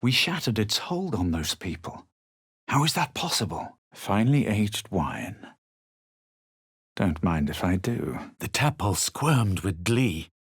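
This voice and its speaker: A low-voiced, self-assured young fantasy hero for a video game. He speaks with measured superiority and a husky edge, as if every phrase were written out. The sound is close and dry. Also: big pitch swings, dry recording, full character voice. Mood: brooding. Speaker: video game player-character voice actor. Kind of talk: scripted game voice lines, narration and quips.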